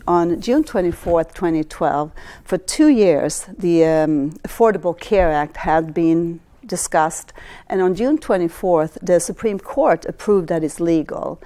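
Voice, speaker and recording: A woman with a slight Swedish accent talks through astrological cycles in a meandering way, often stopping to restart a phrase. Her voice sits a little low for a woman. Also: mellow. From Sweden. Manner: rambling, halting delivery with frequent restarts and fillers. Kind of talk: astrology lecture.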